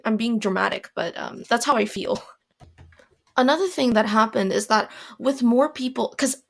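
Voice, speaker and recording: Hoarse teen girl, solo podcast host, raspy, rambling and self-deprecating, mid pitch. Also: fairly bright tone. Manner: rambling, self-deprecating, many fillers and restarts. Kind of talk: casual solo podcast monologue. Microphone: home recording mic.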